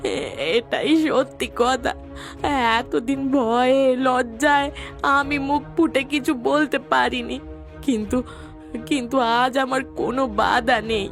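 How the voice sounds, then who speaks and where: A woman acts as a distraught village wife in an animated story. Her high voice is tearful and guilty, with halting, drawn-out pleading. Music plays clearly behind her. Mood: guilty confession. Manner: tearful, drawn-out, stammering repetitions. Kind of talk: dramatized animated melodrama.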